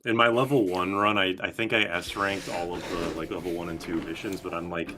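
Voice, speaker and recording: A man's voice: deep voice, fairly even intonation, brisk, pushed and bright. A man doing casual, joking game commentary with crude, sweary language. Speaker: gaming stream co-commentator. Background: game music.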